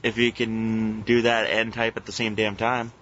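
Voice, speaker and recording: A man co-hosting a casual podcast with teasing, profane banter and halting, informal review talk. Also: on the low side, level tone, fairly bright tone, a little hiss or hum. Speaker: podcast host. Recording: muffled lo-fi internet call recording.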